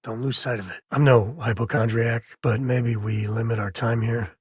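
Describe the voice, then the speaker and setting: An actor playing a rugged, wry space-frontier explorer and caring father in a video game, with a husky, raspy voice and folksy, conversational phrasing. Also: mid-range voice, fairly even intonation, normal speed, no room sound, clean studio sound, lightly acted. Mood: wry, earnest. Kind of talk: video game companion dialogue.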